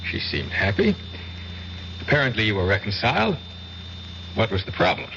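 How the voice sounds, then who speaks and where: A man's voice: lowish voice, wildly swooping pitch, moderate pace, vocal fry, pushed and bright, exaggerated voice. An actor playing a probing, skeptical man questioning someone in a radio drama. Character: probing, skeptical male interviewer. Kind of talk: 1970s radio drama acting. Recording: dull, noisy radio broadcast.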